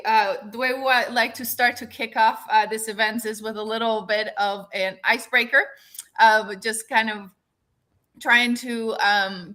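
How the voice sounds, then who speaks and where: A woman's voice: a little high, pushed and bright. Woman hosting a video call chat, stop-start, keeps restarting her sentences. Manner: halting, restarting phrases. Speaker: host. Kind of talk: casual interview on a video call.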